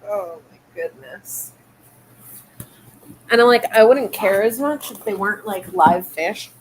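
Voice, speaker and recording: A woman's voice: mid-range voice, measured pace, slight background noise, low-quality recording. A woman hosting a casual late-night livestream from a home room lit by fish tanks, talking in a meandering, hesitant way. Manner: meandering and hesitant, many fillers and trailing thoughts. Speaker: livestream host.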